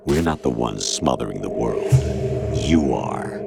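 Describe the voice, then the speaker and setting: Game villain, condescending AI guardian of mankind, extremely low voice, measured lecturer, over prominent music. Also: male voice, animated, creaky, exaggerated voice. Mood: cold, accusing. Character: cold, condescending male artificial intelligence, self-appointed guardian of mankind. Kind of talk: dramatic game cutscene acting.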